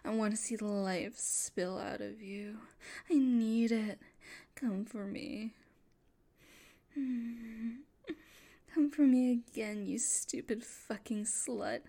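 A woman playing a possessive, unstable, domineering woman in an intimate audio roleplay. She whispers and coos in a breathy, menacing voice. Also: a little high, slow, long pauses, dark tone. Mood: unhinged.

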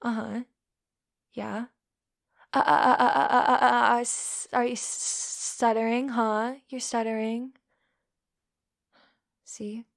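A woman's voice: on the high side, little pitch movement, takes it slowly, gravelly, long pauses. A young woman playing a scornful, bullying college woman who taunts and swears constantly. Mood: taunting. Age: young adult. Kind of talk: audio roleplay.

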